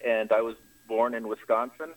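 An American man from Colorado phoning a radio show, telling personal experiences haltingly, with frequent pauses and fillers. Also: medium pitch, completely flat delivery. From Greeley, Colorado. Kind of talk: personal account in a live call. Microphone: phone call to radio show. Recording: distant-sounding radio call-in line.

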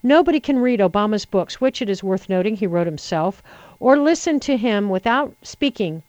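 A woman hosting a call-in radio talk show, chatty and opinionated, talking briskly to callers. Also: mid-range voice, lively intonation, darkish tone, dry recording, muffled recording. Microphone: studio microphone.

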